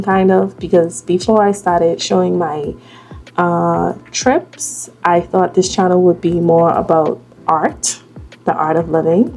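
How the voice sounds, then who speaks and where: A woman's voice: on the low side, vocal fry. A Bahamian woman in her late twenties chatting casually as she does her makeup for a vlog. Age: 20s. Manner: slow, relaxed, chatty while doing makeup. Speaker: vlogger. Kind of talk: casual get-ready-with-me chat.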